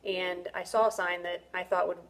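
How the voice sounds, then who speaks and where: Hesitant middle-aged woman, slightly low voice. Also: little pitch movement, normal speed. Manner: hesitant, many ums and restarts, self-conscious. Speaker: student reflecting on a course. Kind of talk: casual spoken reflection.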